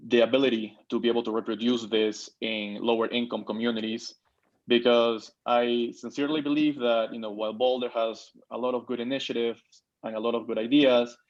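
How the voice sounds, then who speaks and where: A man's voice: medium pitch, muffled recording. A man in his thirties, from Peru, introducing himself at a board meeting on a video call, with many fillers and restarts. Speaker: board member. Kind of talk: virtual meeting remarks.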